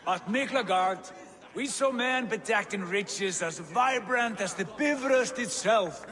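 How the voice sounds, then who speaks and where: An actor playing a boastful, grandiloquent Viking lord giving a rousing feast-hall speech in a video game. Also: male voice, high voice, takes it slowly, pushed and bright, exaggerated voice. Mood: proud, grand. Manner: grandiloquent, declamatory. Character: boastful Viking lord back from years of raiding. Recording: echoing hall, music underneath.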